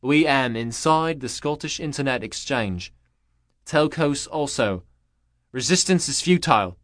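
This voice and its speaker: A man reading an audiobook, voicing a stiff male agent controlled by a machine, speaking loudly and haltingly with broken, robotic grammar. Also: mid-range voice, lively intonation, dry recording, clean studio sound. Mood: stilted. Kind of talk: audiobook character voice.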